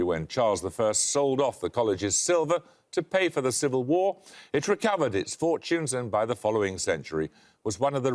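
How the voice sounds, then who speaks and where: Male quiz host, dry wit, animated pitch, studio read. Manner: dry, wry delivery with lively intonation. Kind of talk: scripted quiz presenting. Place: television studio.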